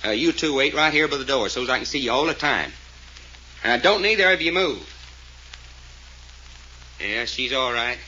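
A good-natured, excitable detective in a 1940s radio serial. His talk is folksy and ungrammatical, with a bright edge and big swings in pitch. The old recording is slightly dull. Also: normal speed, pauses often, a little hiss or hum. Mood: gentle. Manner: folksy, ungrammatical, stammering when flustered.

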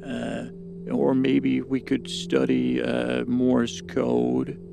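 A man's voice: mid-range voice, completely flat delivery, extremely slow, creaky, background noise. A man hosting a podcast, improvising a meandering monologue that halts, repeats itself and trails off. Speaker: podcast host. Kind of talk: rambling improvised bedtime story monologue.

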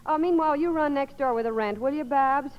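Woman as patient soothing 50s radio mom, kinda high voice, muffled old broadcast. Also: a little fast, close to an everyday voice. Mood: gently practical. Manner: gentle, soothing. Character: patient, soothing wife and mother, middle-aged. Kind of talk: 1950s radio comedy acting.

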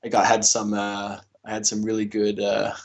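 A young man from Denmark answering interview questions in a relaxed way, with many fillers and restarts. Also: on the low side, moderate pace. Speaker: interview guest, paddle athlete.

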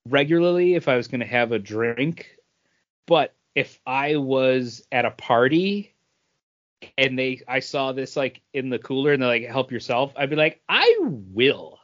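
A man's voice: medium pitch, sing-song, measured pace. A man in casual conversation as a podcast co-host. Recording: dull, lo-fi audio.

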